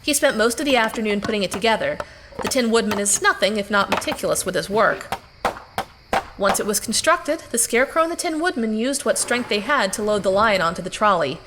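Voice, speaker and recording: A woman's voice: medium pitch, animated, a little fast, background noise, natural voice. An actress playing a young woman recounting adventures in an audio drama, with chatty, wry asides to the listener. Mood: wry, confiding. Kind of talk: conversational first-person audio drama narration.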